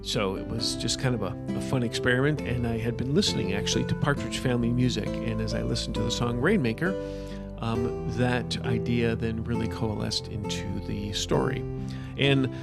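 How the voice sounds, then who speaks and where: Conversational man with a creaky voice. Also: mid-range voice, normal speed, over loud music. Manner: conversational, loosely phrased. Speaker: author. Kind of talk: informal spoken commentary on own writing.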